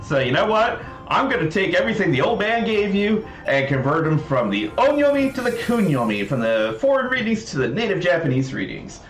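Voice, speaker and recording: A man's voice: high voice, very animated, brisk, hardly any pauses, pitched above the performer's own voice. A man voicing a patient, smug shopkeeper who coaxes and lectures a child in a comic folktale. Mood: smug coaxing. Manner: coaxing, condescending, explanatory. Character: patient, smug tobacco shop keeper, lecturing a kid. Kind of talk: comic storytelling, acting out a rakugo tale. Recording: roomy echo, music underneath.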